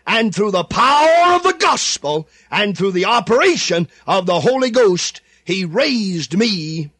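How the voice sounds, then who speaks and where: A man preaching a fervent radio sermon, swinging from shouts to low, drawn-out emphatic repetition. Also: breathy edge, dry recording, studio quality. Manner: impassioned, rising to shouts then dropping low.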